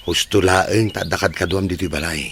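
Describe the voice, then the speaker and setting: Man acting as young father, Ilocano accent, low pitch, expressive, firm and eager, radio drama, faint outdoor noise. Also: normal speed. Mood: reassuring. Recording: noticeable background ambience with birdsong.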